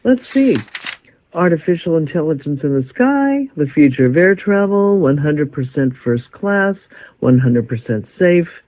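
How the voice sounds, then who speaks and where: A mellow, wry woman editor. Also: low-pitched, big pitch swings, measured pace, rough recording. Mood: skeptical, wry. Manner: wry, doubtful. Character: skeptical, wry female newspaper editor. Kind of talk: audio drama acting.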